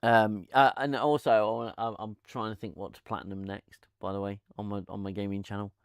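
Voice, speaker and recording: Man, lowish voice, chatty live-stream host, rambling restarts, dry close lavalier sound. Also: level tone, a little fast, clear, resonant tone, no echo. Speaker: channel host. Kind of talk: casual live-stream chat. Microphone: lavalier mic.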